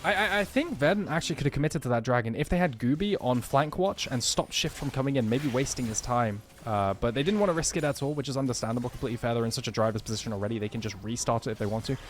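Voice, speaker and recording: A man's voice: mid-range voice, expressive, quick pace, few pauses, a little hiss or hum. A man casting a live esports match, giving breezy play-by-play and analysis. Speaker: esports caster.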